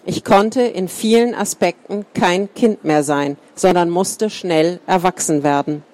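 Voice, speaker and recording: A woman reading a first-person account aloud in a calm, measured way. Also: lowish voice. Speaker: reader.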